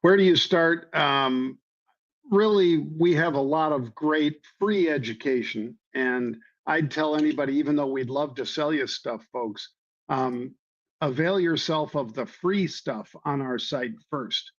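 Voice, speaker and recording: Hoarse guy explaining trading, lots of ums, kinda dull lo-fi sound. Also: a little high, measured pace. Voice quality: hoarse from years of floor yelling. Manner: folksy, repeats phrases, many ums. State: slightly hoarse. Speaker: trading guest. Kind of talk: conversational explanation. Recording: dull lo-fi audio.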